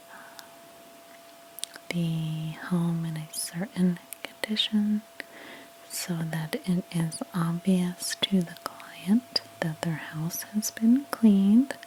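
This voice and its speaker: A woman narrates an ASMR roleplay in a soft, breathy near-whisper. Her voice sits fairly low and she takes her time, gently explaining one step after another. Clear background noise runs behind her. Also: low-quality recording. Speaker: ASMR creator playing a house cleaner.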